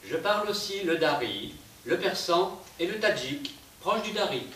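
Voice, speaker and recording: A man performer giving an expressive, declamatory theatrical recitation on a stage. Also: sits high, measured pace. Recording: echoey stage, distant mic, faint hiss.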